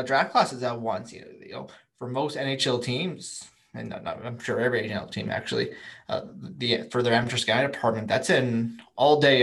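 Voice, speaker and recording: A man's voice: mid-range voice, creaky, fairly bright tone. Male guest, mid voice, circles his point with restarts. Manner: thoughtful, lots of fillers and restarts. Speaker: guest, prospect writer. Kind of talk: casual podcast interview.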